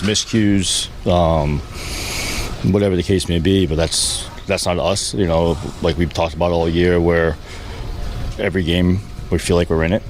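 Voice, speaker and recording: Low voiced guy, pretty flat, baseball manager doing postgame answers, halting, bit of background noise. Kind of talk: post-game press conference answers. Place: post-game press session with reporters. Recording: faint background noise at press session.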